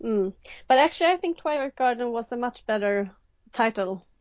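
A woman's voice: a little high, animated, normal speed, no room sound. A woman in her thirties from Sweden, speaking English with a Swedish accent as an interview guest, hesitant and full of ums and restarts. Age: 30s. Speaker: guest illustrator. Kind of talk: casual interview answers. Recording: dry, dull lo-fi sound.

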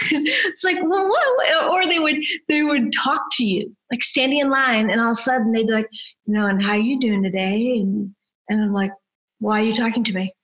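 A woman in her fifties from New Hampshire, a photographer chatting as an interview guest, talkative and quick to laugh. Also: a little high. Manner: chatty, laughs often, lively quoted voices. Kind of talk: casual interview chat.

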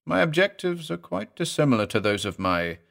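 A gaunt private investigator , voiced by a male narrator in a mystery story. He is courteous, patient and reserved, with formal phrasing and a lively rise and fall. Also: on the high side, brisk, vocal fry, voice pushed up, heavily acted. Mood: polite, patient. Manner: patient, soft-spoken, formal.

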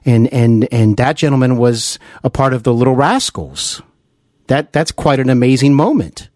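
American guy doing an interview, earnest and admiring, animated pitch, dry close mic. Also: normal speed, dry recording. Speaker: interview host. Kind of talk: conversational radio-style interview.

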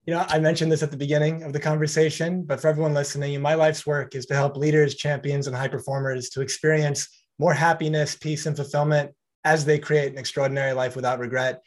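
Man, podcast host, slightly high voice, encouraging, upbeat wrap-up talk. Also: flat delivery, fast talker. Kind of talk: casual podcast interview.

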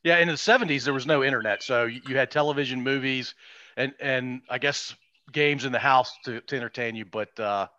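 Fifties guy hosting a podcast, bright voice, dry dull sound. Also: mid-range voice, brisk, no echo. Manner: friendly, hesitant with fillers. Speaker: podcast host. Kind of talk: casual interview chat.

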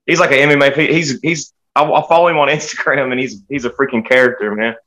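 A man's voice: mid-range voice, fairly even intonation, fast talker, pushed and bright. A man from the United States chatting casually as a musician guest, talking in a rambling, folksy, stop-start way over dull, lo-fi audio. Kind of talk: casual chat.

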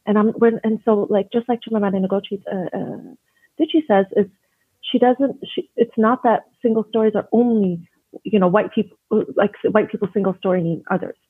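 A woman's voice: mid-range voice, fairly even intonation, fairly quick, slightly rough, no echo. A woman from Canada, an educator being interviewed, speaking earnestly and thoughtfully with frequent restarts. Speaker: educator and guest. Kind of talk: conversational interview. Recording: dry phone-like line.

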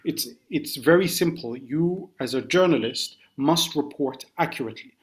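A man's voice: on the high side, normal speed. A man, a journalist guest on an interview podcast, recounting events in careful detail. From United Kingdom.

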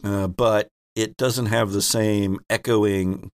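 Male game master, lowish pitch, improvised informal scene narration, very little room sound. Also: steady tone, slow, dry recording, studio quality. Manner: informal, self-correcting.

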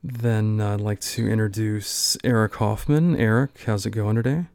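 Low, flat-voiced male podcast host. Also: unhurried, gravelly, a little hiss or hum. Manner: friendly, filler-heavy interviewer. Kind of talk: hosting a roundtable interview.

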